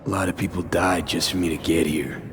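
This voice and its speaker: A man's voice: low voice, fairly even intonation, brisk, over music, close to an everyday voice. A man playing a weary, earnest mercenary in a video game, talking in an informal, streetwise way. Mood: questioning. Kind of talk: scripted game voice acting.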